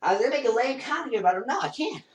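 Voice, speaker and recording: A man's voice: extremely high pitch, animated, rapid-fire, muffled recording. A teenage boy vlogger rambling through a comedic parody story and acting out scenes while he moves around a small basement room. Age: teens. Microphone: built-in webcam mic across the room. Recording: roomy and distant.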